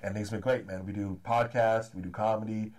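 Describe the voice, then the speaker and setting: Fast-talking man in his thirties, low voice. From Los Angeles area, California. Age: 30s. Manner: fast, energetic, repeats "man" often. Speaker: comedy and hip-hop promoter, guest. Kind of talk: casual interview chat.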